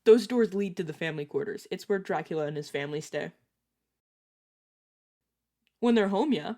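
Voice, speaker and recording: A woman's voice: lowish voice, expressive, normal speed, warm tone. A woman playing a casual, reassuring vampire guide in an audio roleplay, talking informally to the listener as a mentor to a newcomer. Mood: chatty, casual. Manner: chatty, informal, self-correcting, reassuring.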